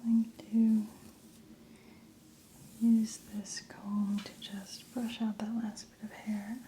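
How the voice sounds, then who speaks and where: Softly whispering woman, mellow ASMR hairstylist. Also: mid-range voice, level tone, takes it slowly, a little hiss or hum. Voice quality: breathy whisper. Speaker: ASMR roleplay creator playing a hairstylist. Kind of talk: soft whispered ASMR roleplay, narrating each step.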